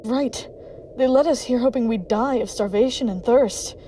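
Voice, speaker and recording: A woman playing a gentle, calm and hopeful elf in a dramatic comic dub. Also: a little high, normal speed, a little hiss or hum, close to an everyday voice. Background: music.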